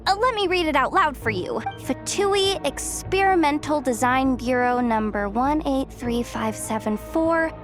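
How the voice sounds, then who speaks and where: High-voiced cheeky young thief girl. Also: expressive, unhurried, hardly any pauses, soft background music. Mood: playful bluster. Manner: flippant, chatty, theatrical. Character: cheeky young female thief and treasure hunter, breezy and evasive. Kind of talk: animated video game voice acting.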